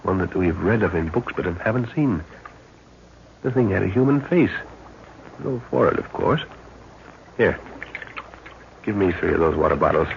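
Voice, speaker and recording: An actor playing a calm, authoritative middle-aged father leading a jungle expedition in a 1930s radio adventure, speaking in a measured, commanding way. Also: low-pitched, gravelly. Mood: tense, cautious. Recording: muffled, noisy 1930s radio recording.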